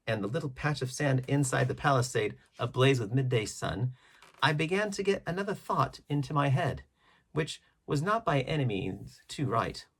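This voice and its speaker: A male audiobook narrator reads a classic adventure tale. His voice is husky and mid-pitched, with very animated intonation. The phrasing is measured and dramatic. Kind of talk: scripted storybook narration.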